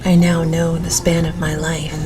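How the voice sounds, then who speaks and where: A woman reading a gothic novel as an audiobook in a gentle, measured manner. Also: low voice, completely flat delivery, background noise. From Canada. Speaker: audiobook narrator. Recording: music behind the voice. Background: music.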